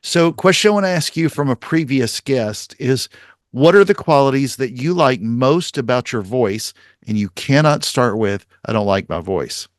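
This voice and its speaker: Male podcast host, upbeat and emphatic, middle pitch, dry studio mic. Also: lively intonation, normal speed, no echo. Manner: upbeat, emphatic, list-like summarizing. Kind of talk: podcast interview and recap.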